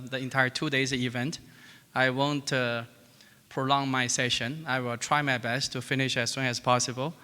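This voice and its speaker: Guy w presenting research, lots of uhs, sharp voice, hall echo. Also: medium pitch, clean tone. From China. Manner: halting, many fillers. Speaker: conference presenter. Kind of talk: academic presentation. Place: large conference hall. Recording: long conference hall reverb.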